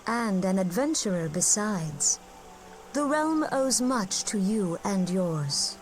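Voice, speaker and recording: A woman's voice: mid-range voice, very animated, a little hiss or hum, low-quality recording. An actress playing a serene, gracious female seer and leader in a fantasy game, speaking with formal, courtly diction. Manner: formal, measured, courtly archaic speech. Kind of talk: fantasy video game voice acting.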